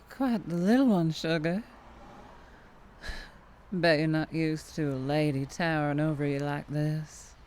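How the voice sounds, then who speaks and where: Woman acting as a Southern US vampire trucker lady, very slow drawl, low-pitched, flirtatious, teasing, predatory, ASMR roleplay. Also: a little mellow, a little hiss or hum. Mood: flirty, teasing. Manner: slow teasing drawl with chuckles and pet names.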